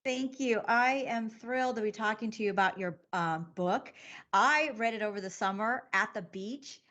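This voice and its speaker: A woman's voice: on the high side, normal speed, a bit bright. A woman guest-hosting an interview show, effusive and full of praise for her guests. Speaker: guest host.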